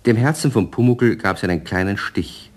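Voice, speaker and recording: A man narrating a children's radio play as an expressive, colloquial storyteller. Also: lowish voice, normal speed.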